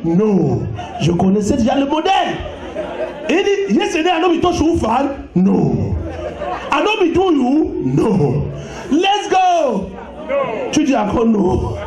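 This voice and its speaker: A man from Cameroon doing an animated comic stage routine, shouting jokes with bits of broken English. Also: very high voice. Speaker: stand-up comedian. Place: event hall at a gala. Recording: echoey hall with loud crowd.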